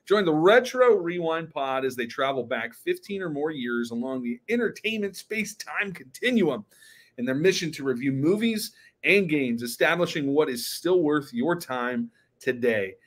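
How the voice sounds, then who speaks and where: A male podcast co-host with a voice somewhat higher than most men's. His delivery is casual and conversational, the easy banter of a show among friends. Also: expressive, moderate pace.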